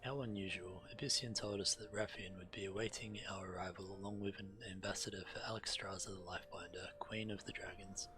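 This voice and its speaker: A man streaming a game, reading quest text aloud in a measured way, now and then stumbling and correcting himself. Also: low-pitched, fairly even intonation. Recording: game music behind the voice. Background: video game music.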